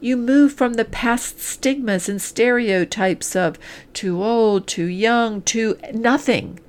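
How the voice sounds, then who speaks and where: Woman coach giving an earnest, deliberate pitch. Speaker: executive coach and podcast host. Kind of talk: scripted promotional pitch addressed to the listener.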